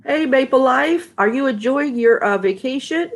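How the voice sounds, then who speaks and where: A woman's voice: high voice, expressive, moderate pace. A middle-aged woman with a stuffed-up, nasal voice, chatting casually with viewers and greeting them by name on a live stream from home. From Texas. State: stuffy, congested nose. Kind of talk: casual live chat reading viewer comments.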